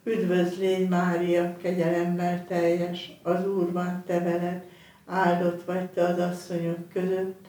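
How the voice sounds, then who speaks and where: A woman leading a rosary, reciting the prayer in a measured, monotone way in a very echoey space, away from the mic. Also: fairly low pitch, distant mic.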